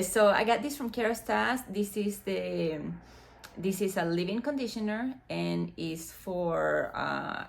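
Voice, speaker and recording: Gravelly Dominican woman in her forties. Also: fairly low pitch. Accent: Dominican Spanish. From Dominican Republic. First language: Spanish. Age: 40s. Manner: chatty, hesitant with many fillers. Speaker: beauty vlogger. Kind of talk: casual unboxing chat. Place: room next to a window, street noise outside. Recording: faint outside noise from the window.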